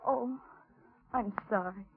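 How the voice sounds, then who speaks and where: An actress playing a frightened, lonely young waitress, tense and hesitant, in a 1940s radio thriller. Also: female voice, mid-range voice, slow pace, background noise. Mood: timid, anxious. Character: frightened, lonely young waitress held captive, telling her ordeal. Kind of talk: 1940s radio thriller acting and first-person narration. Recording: tinny 1940s radio recording with music.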